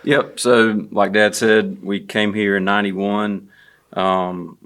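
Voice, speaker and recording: Low-voiced Southern man, easygoing. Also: flat delivery, slow, a bit bright. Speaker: guest. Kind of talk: casual conversation.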